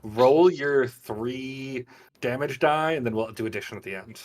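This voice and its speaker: A man's voice: mid-range voice, a little slow, far from the mic. A man acting as game master, narrating a live tabletop game and explaining rules in an informal, vividly descriptive way. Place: online group game stream.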